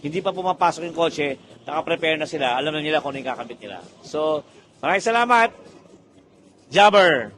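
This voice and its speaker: A man's voice: on the high side, animated, fairly quick, long pauses, a bit bright, a little hiss or hum. A man with a Filipino accent giving a casual testimonial, explaining things emphatically in mixed, conversational phrasing. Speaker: motorsport team member leading a group testimonial. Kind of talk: casual product testimonial, Taglish.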